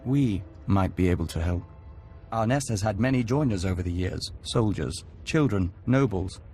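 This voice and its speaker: Low-voiced serene actor as a hive envoy. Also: male voice, expressive, moderate pace, darkish tone, faint music behind, close to an everyday voice. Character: serene male hive-joined envoy speaking as "we". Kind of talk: video game voice acting.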